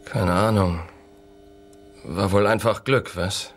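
Casting: evasive tough patient, very low voice, slow and terse. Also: male voice, steady tone. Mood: evasive, sardonic. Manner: terse, guarded. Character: laconic, evasive man with a broken hand. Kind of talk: radio drama dialogue.

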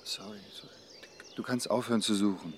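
A man's voice: lowish voice, a little fast, slight background noise, close to an everyday voice. An actor in a radio drama playing a cool, evasive man who leads a drifter community. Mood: apologetic.

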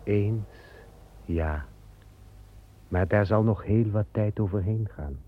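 A man voicing a calm, solemn male angel guarding a paradise garden, speaking slowly and with measure in an old radio play. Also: lowish voice. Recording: dull old radio play recording with music.

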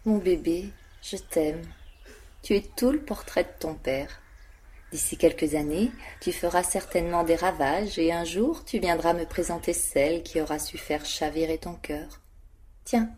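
A woman's voice: on the low side, a little slow, darkish tone, husky. A woman playing an anguished, protective young mother in an audio drama, speaking earnestly. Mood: tender. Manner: earnest, sorrowful. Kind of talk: dramatic monologue in an audio play.

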